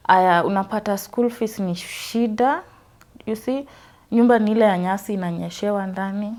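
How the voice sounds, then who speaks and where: A woman's voice: mid-range voice, darkish tone. A Kenyan woman in her twenties from Western Kenya, earnestly telling her life story in an interview and switching freely between English and Swahili. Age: 20s. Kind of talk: personal testimony interview.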